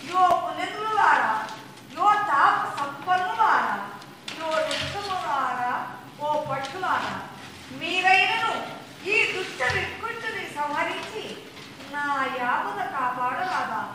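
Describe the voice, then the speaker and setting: A woman performing an abducted young princess in a puppet play, calling for rescue in drawn-out, wailing appeals. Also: very high-pitched, lively intonation, exaggerated voice. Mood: desperate pleading. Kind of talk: traditional puppet theatre declamation. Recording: distant, noisy, long echoing live sound.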